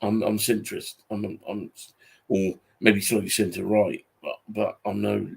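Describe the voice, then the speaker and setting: Gravelly British man in his forties, low-voiced. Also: level tone. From Britain. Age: 40s. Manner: halting, repetitive, grumbling. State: tired. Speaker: YouTube channel host. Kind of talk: casual unscripted monologue.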